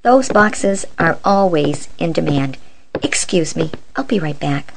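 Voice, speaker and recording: Woman playing an animated, jaded bank clerk. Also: deep voice, a little slow, creaky, noisy background, exaggerated voice. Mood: courteous. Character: jaded, sardonic female bank clerk with a polite front. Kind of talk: amateur radio play acting.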